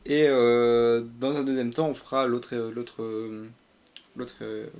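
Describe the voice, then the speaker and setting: A man's voice: mid-range voice, level tone, slow pace, a little mellow, a little hiss or hum. A young man co-hosting a casual live stream, hesitant and rambling as he thinks aloud. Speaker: streamer, co-host.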